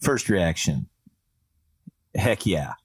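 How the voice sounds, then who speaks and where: Man from Canada, deep voice, dry close sound, thoughtful explainer, casual podcast chat. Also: very animated, extremely slow, breathy edge, no room sound. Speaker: podcast co-host, academic.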